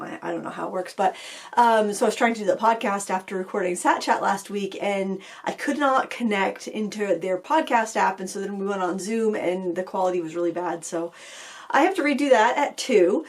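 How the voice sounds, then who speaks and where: A middle-aged woman hosting a craft channel, talking casually to camera with a slightly raspy voice after being ill, rambling with fillers and restarts. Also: medium pitch. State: recovering from illness, a little raspy.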